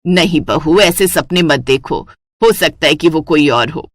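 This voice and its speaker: A woman's voice: sits low, measured pace, slight background noise, voice pushed down. A woman voicing a sombre, weary old mother-in-law who admonishes, in a cartoon moral story. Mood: resigned.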